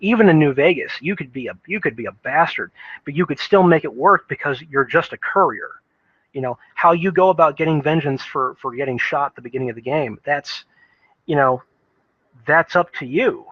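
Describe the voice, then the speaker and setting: A man giving casual, unscripted game commentary in a rambling, halting way, often repeating words as he thinks. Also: on the high side, breathy edge, dry recording, highs cut off. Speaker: video game reviewer.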